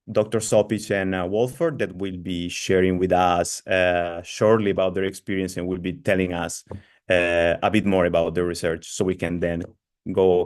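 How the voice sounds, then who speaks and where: A man with a fairly low voice hosts an online seminar over a video call. He hesitates often, apologizes, and fills his pauses with drawn-out sounds. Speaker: seminar host.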